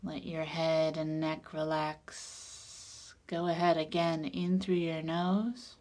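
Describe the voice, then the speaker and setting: Calm lady doing guided meditation, really slow w long pauses, kinda low soft voice that goes breathy.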